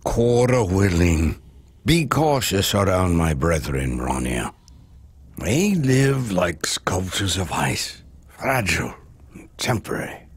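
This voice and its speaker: An actor playing a devout, weary leader of starving outcasts in a video game, speaking in a solemn, preacherly, measured way. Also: male voice, low voice, big pitch swings, slightly rough, full character voice. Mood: grave, gentle. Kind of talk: dramatic video game dialogue.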